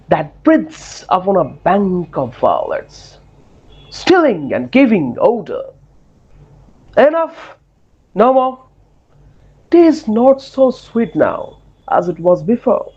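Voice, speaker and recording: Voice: medium pitch, sing-song, slow pace, long pauses, mellow, slightly rough, lo-fi sound, exaggerated voice. A performer playing a lovesick, grandly romantic duke in a theatrical Shakespearean dramatic reading, with declamatory, swooning delivery. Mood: lovesick, yearning.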